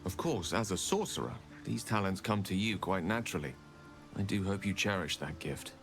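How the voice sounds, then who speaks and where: An earnest, scholarly wizard speaks over prominent music. His voice sits fairly low, and he chooses his words with eloquent, theatrical care, like a remorseful storyteller in a fantasy video game. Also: lively intonation, moderate pace, fairly bright tone. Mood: earnest, wry. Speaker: game character. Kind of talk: dramatic video game dialogue.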